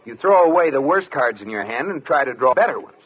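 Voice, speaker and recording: Actor for exasperated sitcom husband, wry and lively, on dull vintage radio audio. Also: male voice, mid-range voice, fast talker, lightly acted. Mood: patient, wry. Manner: wry, harried explaining. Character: exasperated husband, wry, patient then fed up. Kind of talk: 1940s-50s radio sitcom acting. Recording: muffled, very noisy old radio recording.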